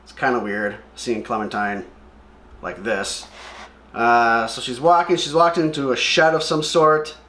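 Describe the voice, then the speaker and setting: A man's voice: medium pitch, fairly even intonation, unhurried, sharp tone. A man hosting a comic read-along with casual, offhand and opinionated commentary as he describes what he sees. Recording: echoey room.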